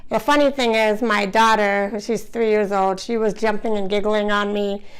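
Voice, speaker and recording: A woman hosting her own channel, talking to camera in a casual, chatty way with lots of "you know" fillers, her voice hoarse. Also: medium pitch, steady tone, moderate pace, low-quality recording. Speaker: vlogger, channel host.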